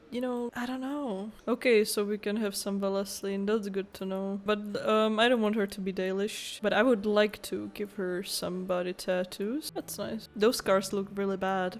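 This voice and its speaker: A woman's voice: mid-range voice, darkish tone. Woman musing casually through a game playthrough. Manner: informal, musing aloud, mild swearing. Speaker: gamer, first-time video creator. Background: faint game music.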